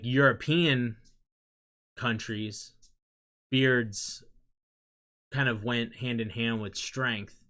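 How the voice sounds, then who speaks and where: A young man chatting loosely with his viewers, rambling and trailing off into quiet asides. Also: lowish voice. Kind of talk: casual rambling chat.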